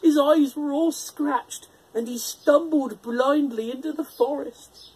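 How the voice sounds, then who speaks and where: A storyteller narrating a children's fairytale in an expressive, theatrical manner. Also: high-pitched, slow, low-quality recording.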